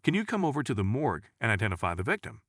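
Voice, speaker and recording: A man's voice: medium pitch, very animated, racing, a bit bright, no echo, studio quality. A man voicing a formal, businesslike policeman in a crime story.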